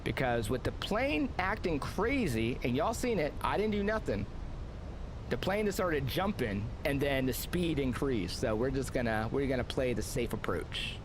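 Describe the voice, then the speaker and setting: A man commentates his flight-sim session in loose, rambling chat full of fillers. His pitch swings up and down as he talks. A steady engine-like rumble sits under the whole recording. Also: moderate pace. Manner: rambling, filler-heavy, excitable. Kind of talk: casual gameplay commentary.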